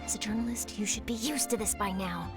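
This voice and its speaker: A woman's voice: mid-range voice, a little fast, gravelly, natural voice. A woman playing an eager, theatrical young female newspaper journalist in an anime-style video game, with a perky, gushing delivery. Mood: frustrated, self-soothing. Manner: perky, theatrical, gushing, dramatic sighs and exclamations. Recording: game music behind the voice.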